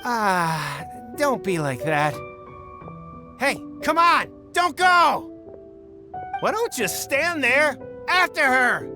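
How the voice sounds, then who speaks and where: An actor playing a cowardly, scheming swindler boss in a video game, with a pushy, wheedling, theatrical manner. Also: male voice, extremely high pitch, very animated, extremely slow, long pauses, over music, exaggerated voice. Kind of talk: animated video game voice acting.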